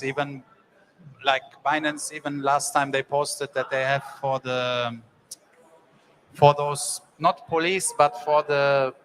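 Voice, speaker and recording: A man's voice: fairly high pitch, a bit bright, low-quality recording. A man moderating a conference panel, introducing guests and asking questions in loose, rambling, informal phrasing. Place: conference stage.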